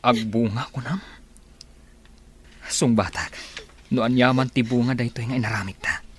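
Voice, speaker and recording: A man's voice: medium pitch, normal speed, slightly rough. An actor with an Ilocano accent playing a secretive, evasive young businessman, earnest and placating, in a radio drama. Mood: firm, reassuring.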